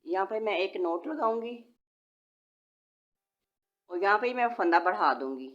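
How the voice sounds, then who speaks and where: A woman's voice: mid-range voice, expressive, a little slow, a bit bright. A woman, patiently giving step-by-step knitting instructions and counting stitches aloud. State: sore throat, voice slightly changed. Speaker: knitting tutorial host. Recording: dull lo-fi home recording.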